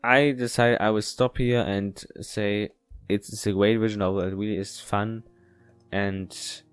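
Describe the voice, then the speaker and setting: Male let's player, lower register, stumbling casual read-aloud over light plucked guitar music. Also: fairly even intonation, smooth, no room sound. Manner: halting delivery, stumbles and repeats words while reading. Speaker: video game let's player. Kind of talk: reading a visual novel aloud with casual commentary. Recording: music behind the voice.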